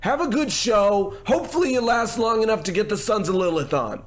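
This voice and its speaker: A man playing a brash, smarmy, domineering TV producer in a radio comedy, talking smoothly and condescendingly with gushing endearments. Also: sits high, lively intonation, brisk. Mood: bossy, smug. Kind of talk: old-time radio comedy acting.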